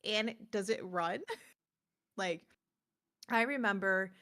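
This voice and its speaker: A woman's voice: medium pitch, slow. Enthusiastic woman chatting as podcast co-host. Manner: enthusiastic, expressive, emphatic. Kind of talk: casual chat.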